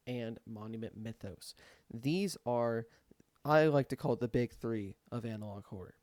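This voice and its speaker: A man's voice: mid-range voice, a little mellow, dry recording, clean studio sound. A man giving casual, rambling opinion commentary in informal language with some swearing. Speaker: video creator.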